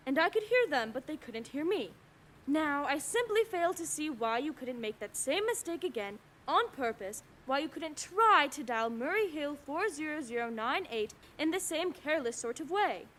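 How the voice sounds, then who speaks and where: A woman's voice: high voice, big pitch swings, normal speed, fairly bright tone. A woman playing a nervous, imperious invalid wife in a suspense radio play, melodramatic and insistent. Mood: indignant, urgent. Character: nervous, imperious bedridden wife, alone and increasingly alarmed.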